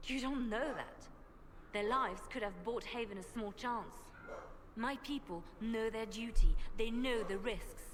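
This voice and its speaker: A woman's voice: a little high, measured pace, lightly acted. An actress playing a grave, guilt-ridden, hard-edged female spymaster in video game dialogue. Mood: hard, insistent. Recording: long reverb, faint ambient noise.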